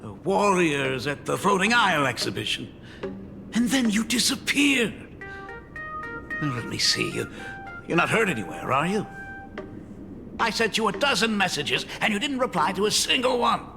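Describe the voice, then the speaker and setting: A man voicing a doting, fretful middle-aged father and academy dean in a video game, with expressive, theatrical delivery. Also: high voice, moderate pace, gravelly, pauses often, big-room sound, over music, exaggerated voice. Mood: anxious, scolding.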